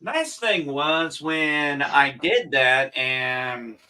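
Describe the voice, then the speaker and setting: A man chatting casually as a podcast co-host, rambling slowly through stories with many restarts. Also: on the high side, animated, bright, forceful tone, clean tone. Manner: slow, rambling storyteller with restarts. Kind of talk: casual chat.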